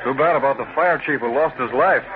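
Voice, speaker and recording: An actor playing a young American newspaper publisher, crisp, polite and businesslike, in 1940s radio drama style. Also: male voice, mid-range voice, a little fast, a crowd in the background, close to an everyday voice. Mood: businesslike, curious. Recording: tinny old radio, background murmur.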